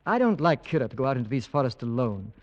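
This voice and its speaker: A man's voice: mid-range voice, sing-song, fast talker, darkish tone, close to an everyday voice. A man acting a pleasant young English husband, doting, wry and a little uneasy, in an old radio drama. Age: young adult. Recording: dull old radio recording.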